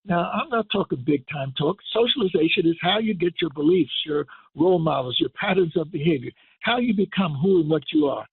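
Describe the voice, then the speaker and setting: An older man giving an impassioned radio lecture as a guest, speaking emphatically and building to forceful declarations. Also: fairly high pitch, lively intonation, a little fast, vocal fry, hardly any pauses, breathy edge, no echo. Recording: tinny phone line.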